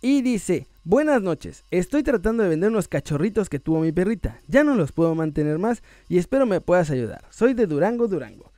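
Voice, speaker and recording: Man, Mexican accent, high-pitched voice, video channel host, casual chat, playful delivery, stretched emphatic words, dry recording. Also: sing-song, fairly quick, hardly any pauses, mellow, no echo. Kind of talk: casual chat to viewers, reading out messages and shout-outs.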